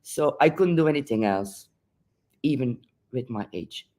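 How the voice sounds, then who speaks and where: Hoarse older Dutch-accented woman. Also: animated, measured pace, dark tone. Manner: deep, husky, laughing. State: hoarse from a late night. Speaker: guest.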